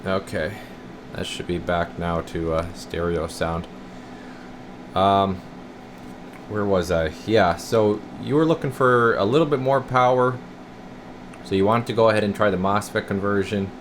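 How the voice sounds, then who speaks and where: Male repair tech, deep-pitched, informal and folksy, demonstrating on camera in a workshop with clear background noise. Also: a little slow, long pauses. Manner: folksy, informal, addressing viewers as guys. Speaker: radio repair technician. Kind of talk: casual hands-on repair walkthrough to camera. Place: indoor radio repair workshop. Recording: clear steady room noise.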